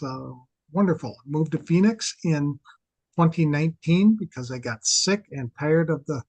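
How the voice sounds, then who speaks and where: A man's voice: mid-range voice, a little mellow, breathy edge, no echo, poor audio. A man in his sixties with a Wisconsin accent, telling his story earnestly as a podcast guest. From Wisconsin, USA. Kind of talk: conversational interview storytelling.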